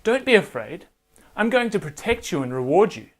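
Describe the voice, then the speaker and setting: A man voicing God as a solemn, commanding deity in a children's Bible story, speaking in measured, authoritative phrases. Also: high-pitched, very animated. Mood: solemn, assuring.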